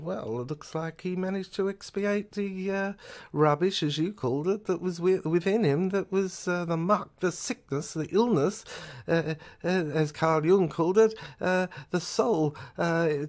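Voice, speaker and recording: A woman's voice: lowish voice, lightly acted. A woman playing an obsessive, rambling philosopher in an audio drama, stammering and repeating words. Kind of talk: dramatic audio play monologue.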